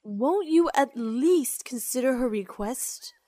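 A woman's voice: high-pitched, lively intonation, takes it slowly, warm tone, no room sound, heavily acted. Woman playing a teasing, chatty companion. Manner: explanatory, teasing, upbeat. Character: chatty, cheerful female companion of the swordsman.

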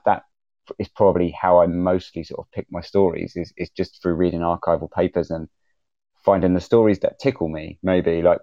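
A man speaking as a podcaster on a panel, unscripted, in a halting way, repeating words as he thinks aloud. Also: low voice, dry recording. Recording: dull, muffled sound.

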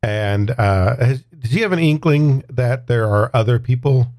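A man's voice: mid-range voice, lively intonation, unhurried, darkish tone, no echo, studio quality. A man playing a tabletop game, casually and thoughtfully explaining what his character does. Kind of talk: casual in-game description and chat.

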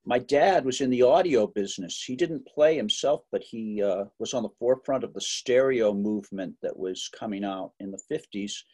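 A man's voice: mid-range voice, normal speed, hardly any pauses, darkish tone. A middle-aged man from Massachusetts chats through an interview at an easy pace. He jokes and circles back with fillers and restarts as he tells stories about growing up. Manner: chatty, joking, many fillers and restarts. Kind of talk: relaxed interview storytelling.

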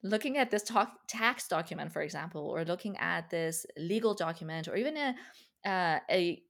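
A woman's voice: lowish voice, expressive, normal speed, creaky. A woman whose first language is Farsi, hosting a casual conversation and talking thoughtfully with light laughs. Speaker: podcast host.

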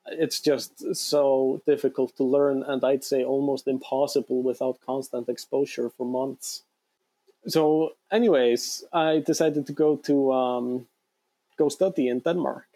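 A young man from Iceland, chatting casually as a guest in a relaxed, rambling way with many fillers. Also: mid-range voice, unhurried. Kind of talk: casual interview talk.

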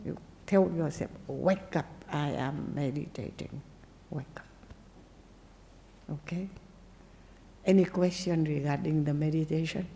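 A woman Buddhist nun, not a native English speaker, giving a gentle dharma talk with simple phrasing and pauses. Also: low voice, gravelly, big-room sound, slight background noise. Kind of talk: dharma talk and meditation teaching.

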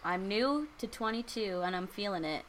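A woman's voice: medium pitch, expressive, normal speed, fairly bright tone, a little hiss or hum, low-quality recording. A woman in her early twenties co-hosting a casual podcast chat, dry and teasing toward the others. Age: 20s. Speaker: podcast co-host.

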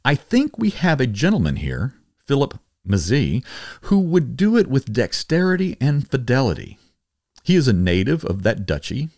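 A man reading historical letters aloud in a formal, measured, oratorical manner. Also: medium pitch, a little mellow, no room sound, studio quality. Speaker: reader and host.

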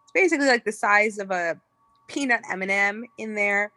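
A woman's voice: mid-range voice, fairly quick, sharp tone, no room sound, pitched below the performer's own voice, natural voice. A woman playing a careless, matter-of-fact dog breeder giving grim news offhandedly in improvised comedy. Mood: offhand.

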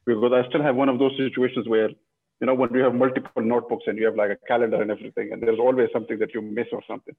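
A man's voice: mid-range voice, little pitch movement, racing, breathy edge. A man from Pakistan, a guest in a casual interview, speaking reflectively with many restarts and "you know"s. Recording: tinny phone-like line.

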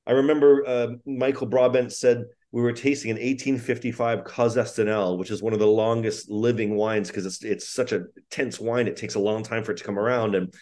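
A middle-aged man from England, a guest on a podcast, telling anecdotes in a casual, lively way and acting out the voices of the people he quotes. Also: lowish voice, brisk, few pauses. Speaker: podcast guest, wine negotiant. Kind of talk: casual storytelling interview.

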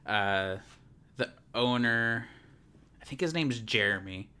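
A man's voice: medium pitch, slow, pushed and bright, clean tone. A man co-hosting a casual podcast, playful and joking, tripping over words. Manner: playful, laughs often, stumbles on words. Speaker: podcast co-host. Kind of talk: casual chat.

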